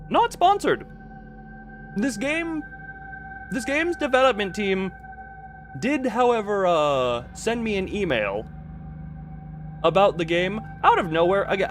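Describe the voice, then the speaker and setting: Goofy man streamer, high animated voice. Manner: goofy, rambling, self-interrupting. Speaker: game streamer. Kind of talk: casual livestream chat while setting up a game.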